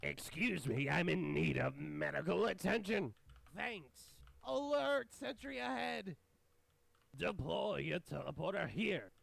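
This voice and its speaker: A man doing a high, gravelly game call-out voice. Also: expressive, takes it slowly, a bit bright, full character voice. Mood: urgent.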